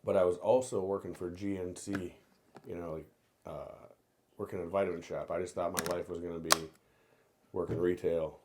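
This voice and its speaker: A man's voice: sits low, brisk, noisy background. A young man from Minnesota, a stand-up comedian, telling stories in a casual podcast chat and acting out quotes. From Minnesota, USA. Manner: animated storyteller, acts out quotes in other voices.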